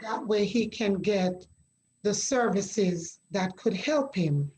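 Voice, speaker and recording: A middle-aged woman from Jamaica, a guest sharing her story in a measured, reflective way with pauses. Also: mid-range voice, animated, a little mellow, a little hiss or hum. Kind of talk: conversational interview.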